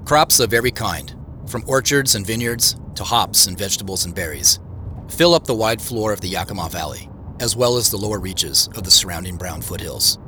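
An actor playing a wry, reflective man who narrates his own mystery in an audio drama, in measured phrases. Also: fairly low pitch, lively intonation, bright, forceful tone, slight background noise. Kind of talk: first-person scripted audio drama narration. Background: music.

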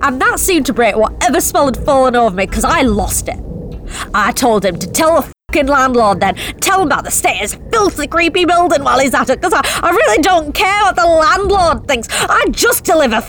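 A woman's voice: high-pitched, big pitch swings, fairly quick, fairly bright tone, a little hiss or hum, poor audio. An actress playing a nervous young delivery driver, telling her story in a tense, confessional first-person monologue with blunt swearing. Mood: uneasy. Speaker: narrating actor.

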